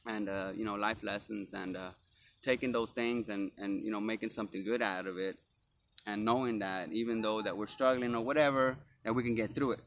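A man hosting a casual interview podcast in a park, talking in a relaxed way with lots of fillers. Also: fairly low pitch, brisk, slight background noise. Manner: relaxed, filler-heavy, friendly. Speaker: podcast host. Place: outdoors in a park.

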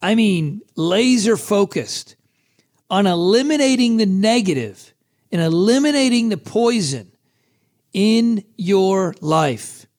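Hoarse man hosting a podcast. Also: high-pitched, animated, takes it slowly, a little mellow. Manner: casual and chatty, with many restarts. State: hoarse, scratchy voice. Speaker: podcast host. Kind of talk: motivational podcast monologue.

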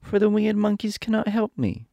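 A man's voice: high-pitched, huge pitch swings, brisk, a little mellow, no echo, dull audio, voice pushed up, exaggerated voice. A man voicing an earnest little girl who longs for home, in a storybook reading. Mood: disappointed.

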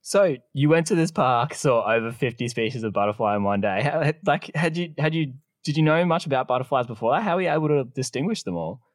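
A man co-hosting a podcast, asking questions in a relaxed, jokey way with some restarts. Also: mid-range voice, fairly quick, hardly any pauses, no echo, clean studio sound. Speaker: podcast co-host. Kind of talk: casual podcast chat.

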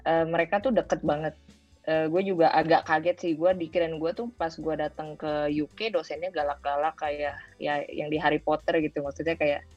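Young female guest, Jakarta accent, low voice, informal chat sprinkled with English, music behind. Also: steady tone, moderate pace, a little mellow. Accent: Indonesian, Jakarta colloquial. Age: young adult. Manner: informal, mixes in English phrases. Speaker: guest, former overseas student. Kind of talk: casual interview chat. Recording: dull lo-fi audio with music.

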